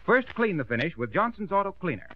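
A man's voice: sits high, sing-song, quick pace. An actor playing a genial, eager announcer and straight man in an old radio comedy. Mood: salesmanlike. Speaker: commercial announcer. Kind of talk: 1930s-40s radio comedy and advertising. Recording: dull lo-fi old radio recording.

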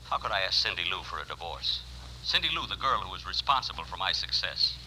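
A man's voice: low-pitched, expressive, fast talker, bright, forceful tone, soft background music, heavily acted. An actor playing a surgeon who narrates his romance in a wry, mock-dramatic radio comedy style. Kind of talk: scripted old-time radio comedy narration. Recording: noisy old radio recording.

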